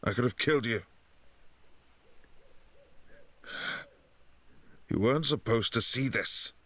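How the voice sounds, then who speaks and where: A man playing a brooding, possessive vampire prince in a boyfriend roleplay, speaking low and hushed and sometimes dropping to a whisper. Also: a little fast, no echo, close to an everyday voice. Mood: alarmed.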